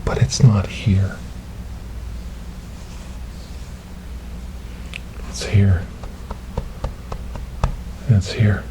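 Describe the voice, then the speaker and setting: A man playing a gentle, devout rescuer in an ASMR storytelling roleplay, speaking softly and breathily in a soothing, reassuring way. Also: on the low side, steady tone, extremely slow, noisy background. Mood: tender, reverent. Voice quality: soft, breathy, whispery. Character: gentle, devout male rescuer coaxing a lost ghost girl.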